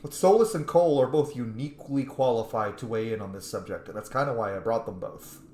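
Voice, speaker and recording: A man's voice: medium pitch, brisk, a little mellow. A man playing a video game and commenting on it casually, chatty and opinionated. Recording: echoey room, faint background noise.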